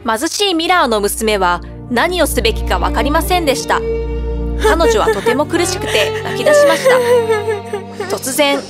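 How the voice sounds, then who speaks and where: Expressive high-voiced woman telling a fairy tale. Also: moderate pace. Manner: expressive storytelling. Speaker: narrator. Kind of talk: bedtime fairy tale narration. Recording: background music.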